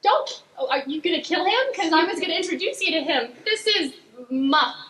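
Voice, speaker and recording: A woman's voice: extremely high pitch, animated, moderate pace, fairly bright tone. A woman playing an earnest, pleading young daughter in an improv comedy scene. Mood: eager. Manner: childlike, pleading, sweet. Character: earnest young daughter back from camp, fond of pet spider. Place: live improv stage with audience. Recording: echoey stage with some noise.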